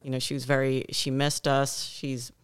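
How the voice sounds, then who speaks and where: A woman's voice: a bass voice, level tone, moderate pace, clean tone, no room sound, clean studio sound. A woman on the gym staff chatting casually on a podcast, telling a story at length.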